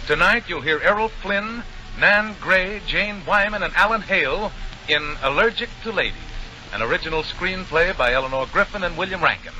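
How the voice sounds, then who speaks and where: A man's voice: sits high, lively intonation, normal speed, pushed and bright. A man hosting a radio show in formal, showmanlike 1940s announcing style. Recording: dull, lightly noisy 1940s radio broadcast.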